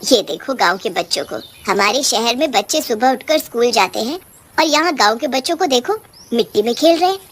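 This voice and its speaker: High-voiced fussy witch, voiced by a woman. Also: lively intonation, brisk, fairly bright tone, slight background noise. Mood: scolding. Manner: scolding, complaining. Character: female village and city witches, indignant, fussy ghosts.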